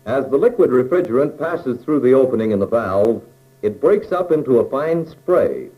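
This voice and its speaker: An American man narrates an instructional film in a mellow, relaxed voice at a middle pitch. His intonation moves up and down in a lively way as he explains things step by step in plain, measured phrases. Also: poor audio.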